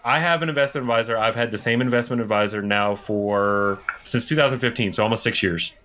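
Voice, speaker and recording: A man with a fairly low voice talks casually as a podcast co-host. He explains his points conversationally, easing into sentences with hesitant restarts. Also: a little fast, sharp tone, slight background noise.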